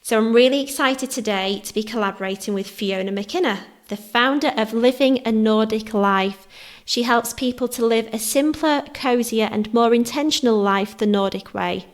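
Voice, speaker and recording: A woman presents her podcast from a script. Her reading is soft and measured, moving through lists of phrases. Her voice sits a little high. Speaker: podcast host.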